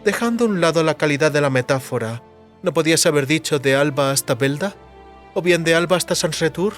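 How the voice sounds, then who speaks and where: A woman's voice: low-pitched, brisk, close to an everyday voice. A woman acts out a haughty sorceress in an audio drama. She is demanding and teasing with her lover, phrasing everything ornately and imperiously, with music playing behind her. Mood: coquettish, haughty.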